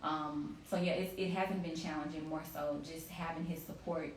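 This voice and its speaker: A woman in her late thirties with a fairly low voice talks casually and candidly as an interview guest. She sounds set back from the mic in an echoey room, with a little noise in the recording. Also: fairly even intonation, normal speed. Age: late 30s. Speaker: interview guest, company CEO. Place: remote live video chat in a room. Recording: roomy, distant mic, faint noise.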